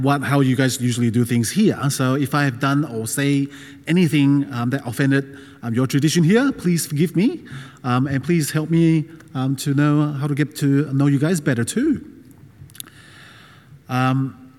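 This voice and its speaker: A middle-aged man from Taiwan who moved to Australia as a child, a non-native English speaker, preaching informally and hesitantly as a guest. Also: medium pitch. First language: not English. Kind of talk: informal personal sermon. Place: large reverberant room with a gathering. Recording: reverberant hall.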